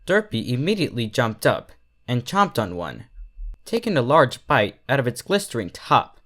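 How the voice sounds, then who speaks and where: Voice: mid-range voice, very animated, normal speed, a bit bright. A storyteller reading scripted fiction aloud as its narrator. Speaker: fan fiction reader, narrator.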